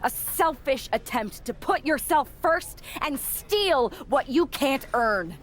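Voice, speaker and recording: A woman's voice: high voice, expressive, slow pace, soft background music. A woman voicing a betrayed, defiant female wrestler giving an accusing, resolute promo in a wrestling video game. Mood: cold, resolute.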